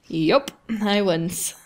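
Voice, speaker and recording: A woman hosting a gaming video, reading a visual novel aloud in a playful, giggly, self-mocking way. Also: on the low side.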